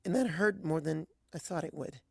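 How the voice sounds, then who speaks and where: A reader acts out a young pony mare from a storybook, timid and apologetic. The voice is raised in pitch and slightly creaky, halting and pleading as it goes, recorded dry and close. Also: darkish tone, dry recording. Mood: hurt, earnest. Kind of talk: dramatic audiobook fan fiction reading.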